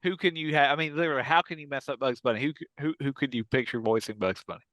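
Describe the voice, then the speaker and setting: A man's voice: mid-range voice, very fast, a bit bright, no room sound. A man co-hosting a podcast in casual comedic banter, chatty and teasing, repeating words for emphasis. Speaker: podcast co-host.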